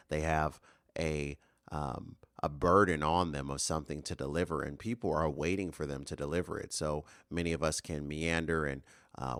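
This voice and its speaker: A man's voice: very deep voice, no echo, clean studio sound. A man in his thirties hosting a solo motivational podcast, earnest and rambling with fillers and restarts. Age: 30s. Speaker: podcast host.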